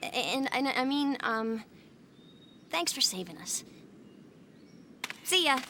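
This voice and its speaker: A feisty young traveling performer in a video game. Her voice is high and very expressive, delivered unhurriedly, friendly but quick to snap. There is clear background noise. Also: full character voice. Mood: flustered, friendly. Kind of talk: English video game dub acting.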